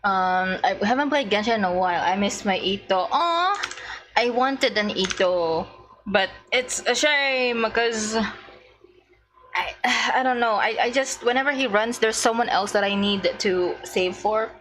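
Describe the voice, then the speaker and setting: A woman streaming a game, chatting casually and muttering to herself in hesitant, drawn-out phrases. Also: mid-range voice, a bit bright. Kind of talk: casual live gameplay chat. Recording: background noise.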